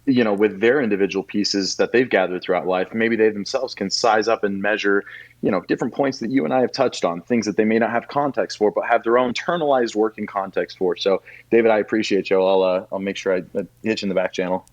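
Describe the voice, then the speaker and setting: A man's voice: fairly low pitch, quick pace, muffled recording. A man hosting a podcast, thanking his guest in an appreciative, rambling way. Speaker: podcast host.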